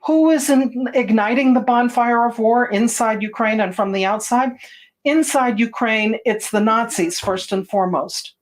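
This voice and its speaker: Woman doing a voice-over translation of a speech, slightly high voice, even and steady. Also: normal speed. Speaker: interpreter.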